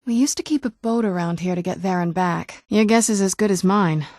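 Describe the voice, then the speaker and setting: A woman's voice: fairly low pitch, a little fast, darkish tone, no room sound, lightly acted. A woman playing a guarded, wry young vampire noblewoman companion in a video game, speaking in a dry, sardonic, conversational way. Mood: businesslike, casual. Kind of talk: video game character dialogue.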